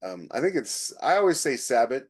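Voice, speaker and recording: A man's voice: medium pitch, sing-song, fairly quick, husky. A man co-hosting a podcast, chatting casually and explaining things in a conversational way. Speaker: podcast co-host. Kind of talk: casual chat.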